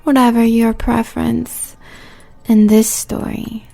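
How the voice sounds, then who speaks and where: Sultry British female fire spirit, played by a woman: flirtatious, teasing, slow and creaky, for a comic Christmas audio drama. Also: fairly high pitch, little pitch movement, mellow, soft background music, exaggerated voice. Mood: teasing, seductive. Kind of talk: comedic audio roleplay acting.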